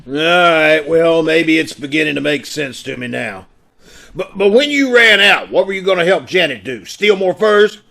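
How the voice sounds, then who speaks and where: An exasperated police inspector played by a man, with a high, bright, gravelly voice. He blusters and needles like a lawman in an old radio serial. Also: expressive, normal speed, heavily acted. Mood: skeptical. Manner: blustering, sarcastic. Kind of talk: 1940s radio detective drama acting. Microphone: video call.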